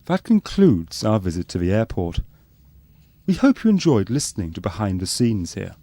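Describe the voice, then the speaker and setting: A low, mellow male voice narrates a spoof documentary with lively intonation. He plays it earnest and scripted, like docu-soap narration. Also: moderate pace, no room sound.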